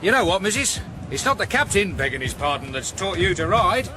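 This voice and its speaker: An actor playing a blunt but deferential common soldier servant in a radio drama, folksy and matter-of-fact. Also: male voice, sits high, big pitch swings, brisk, over music. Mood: matter-of-fact, respectful.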